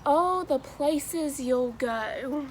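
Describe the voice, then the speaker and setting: High-pitched, slow-paced young woman. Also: a little hiss or hum. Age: 20s. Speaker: new education graduate reading a children's book. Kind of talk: children's read-aloud.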